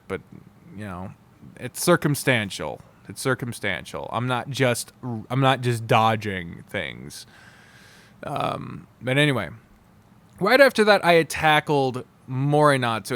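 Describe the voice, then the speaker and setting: A man's voice: medium pitch, fairly bright tone, no echo. A man giving an unscripted, casual review monologue, candid and rambling, with emphatic wording and some swearing. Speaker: YouTuber reviewing visual novels.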